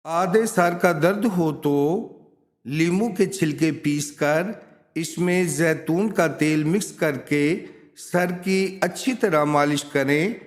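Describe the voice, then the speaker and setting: A man with a notably high voice narrates scripted advice. He takes his time over each phrase, speaking slowly in a measured, instructional manner. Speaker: narrator of a home remedies and quotes channel.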